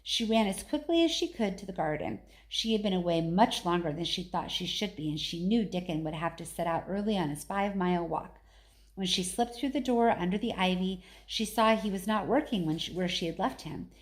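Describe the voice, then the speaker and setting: A woman reading a storybook aloud in expressive narration. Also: lowish voice, fairly quick. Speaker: story reader.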